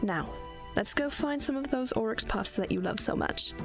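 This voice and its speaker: A woman playing a caring, protective princess and older sister, with a measured, formal, soothing manner in an audio drama. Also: fairly high pitch, animated. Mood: calm, reassuring. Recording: music bed behind the voice.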